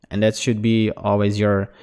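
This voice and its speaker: A man, a native Portuguese speaker, talks a player through their mistakes in a relaxed coaching tone. His voice sits low and sounds smooth and mellow. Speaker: game coach. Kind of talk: casual demo review commentary.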